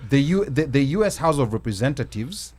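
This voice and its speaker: A man hosting a casual panel talk, animated and repeating words as he makes his point. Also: medium pitch, a little fast. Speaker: show host.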